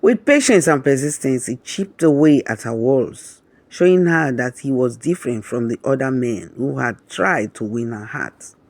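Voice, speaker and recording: A man narrating a scripted romantic folktale in a measured, dramatic storybook manner. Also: mid-range voice, lively intonation, dry recording.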